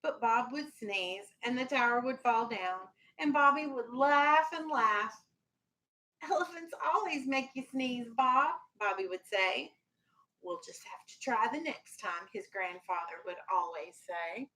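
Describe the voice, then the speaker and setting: A woman's voice: on the high side, unhurried, sharp tone. A woman teacher with a firm, instructive manner, reading a children's storybook aloud and talking to her class in a classroom. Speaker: elementary school teacher.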